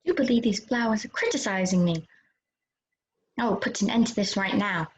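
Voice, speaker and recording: A woman's voice: mid-range voice, fairly quick, close to an everyday voice. A woman playing a curious, polite but easily exasperated little girl in a children's read-aloud play. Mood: flustered, indignant. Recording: roomy, slightly dull sound.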